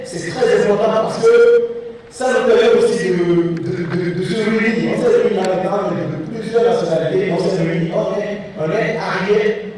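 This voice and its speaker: A man at a forum answering an interviewer spontaneously, halting and repeating words. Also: high-pitched, darkish tone, slight background noise. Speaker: forum participant. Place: conference venue. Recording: distant echoey hall.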